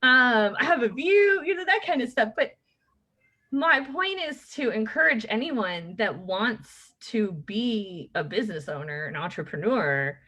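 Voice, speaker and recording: A woman in her late thirties hosting a podcast, talking in a chatty, animated way with many fillers. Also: a little high, unhurried. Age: late 30s. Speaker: podcast host. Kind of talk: casual chat.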